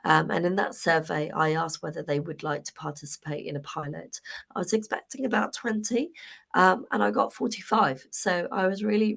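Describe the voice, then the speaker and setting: A woman with a cold and a hoarse voice, giving a chatty webinar presentation over a video call with many ums. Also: lowish voice, a bit bright. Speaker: council commissioning lead presenting.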